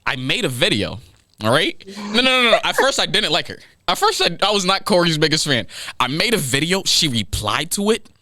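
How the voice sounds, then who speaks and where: A young man in his early twenties hosts a casual podcast chat. He talks fast, his pitch leaps around as he jokes, and his tone is bright and forward. The sound is dry and close. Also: no room sound. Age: 20s. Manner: animated, quick and joking, drops to mumbles. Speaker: podcast host.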